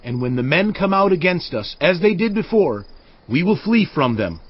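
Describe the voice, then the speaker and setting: An actor playing an earnest, authoritative Israelite war leader with forceful, declamatory delivery in a dramatized audio Bible. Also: male voice, high-pitched, sing-song, moderate pace, breathy edge, highs cut off, full character voice. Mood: urgent, commanding. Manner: forceful, declamatory, urgent orders.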